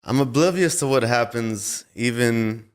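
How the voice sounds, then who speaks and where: A man's voice: mid-range voice, unhurried. A young man hosting a podcast, laid-back and jokey, casually interviewing a guest. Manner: laid-back, jokey, calls people dude. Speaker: podcast host.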